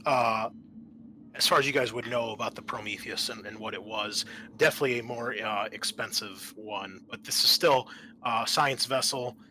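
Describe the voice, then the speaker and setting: A man working as game master, narrating and explaining a tabletop game in a halting, conversational way. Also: medium pitch, pushed and bright, a little hiss or hum.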